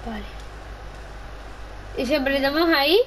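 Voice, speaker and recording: A woman's voice: sits high, a little slow, fairly bright tone, a little hiss or hum. A woman in casual chat while playing a video game with a partner, speaking in short, blunt, teasing remarks. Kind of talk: casual gaming chat with a co-player.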